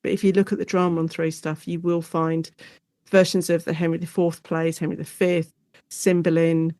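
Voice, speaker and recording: Casting: woman lecturer as chatty interview guest, animated delivery, lowish pitch, no room sound. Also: moderate pace, dry recording. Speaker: guest, university lecturer and author. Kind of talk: casual interview chat.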